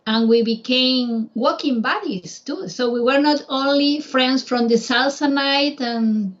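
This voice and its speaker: A woman's voice: sits high, unhurried, highs cut off. Spanish-accented woman telling stories. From Spain. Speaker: guest, travel guide. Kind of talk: casual conversation.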